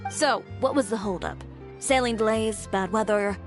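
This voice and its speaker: A woman voicing a poised, composed fashion designer who speaks in a measured, cool manner, in a video game. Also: medium pitch, lightly acted. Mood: calm. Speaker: boutique owner character. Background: game music.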